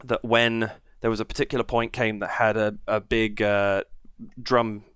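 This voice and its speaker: Stuffed up guy with a cold doing a reaction vid, lots of ums, smooth lowish voice. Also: flat delivery, moderate pace, no echo, clean studio sound. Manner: chatty, many ums and uhs, warm thanks to viewers. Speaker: reaction channel host. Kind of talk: casual video commentary.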